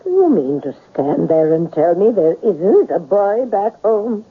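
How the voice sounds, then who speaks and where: Husky, blunt shopkeeper woman. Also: mid-range voice, big pitch swings, measured pace. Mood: blunt, urging. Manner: blunt, insistent, questioning. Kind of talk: 1970s radio drama acting. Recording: tinny 1970s radio broadcast.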